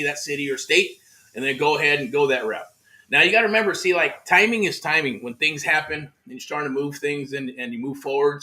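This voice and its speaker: A man's voice: fairly high pitch, bright, forceful tone. A man hosting a motivational livestream about real estate, upbeat and repetitive, full of pep-talk slang, talking outdoors. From Southern California. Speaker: real estate mentor host. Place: outdoors in the heat.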